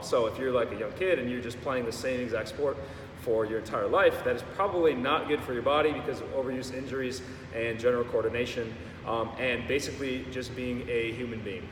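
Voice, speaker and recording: A man's voice: medium pitch, a little hiss or hum. A young man, a strength coach, talking loosely and joking in a casual interview. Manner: relaxed, joking, explains in long loose runs. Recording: echoey room, mic far off.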